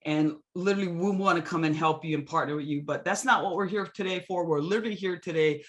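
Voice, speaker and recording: A woman's voice: on the low side, fast, a little hiss or hum. A middle-aged woman from Hawaii giving an enthusiastic webinar talk over a video call, often restarting her sentences. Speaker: guest speaker. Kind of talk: webinar presentation.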